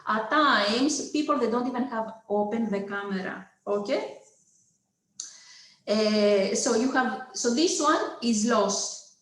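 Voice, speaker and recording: A woman's voice: a little high, slow pace, fairly bright tone, roomy sound, poor audio. A woman with a Greek accent giving an online lecture over a video call, hesitant, with many filler sounds, checking in with her listeners. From Greece.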